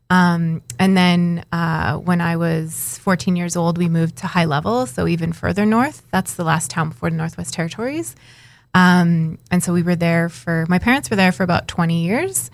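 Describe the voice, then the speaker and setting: A woman in her thirties from Northern Alberta answers an interviewer's questions, pausing often with ums and uhs. Her voice is on the low side and sounds dry and close. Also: no room sound. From Northern Alberta, Canada. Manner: hesitant, many ums and uhs. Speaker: interview guest.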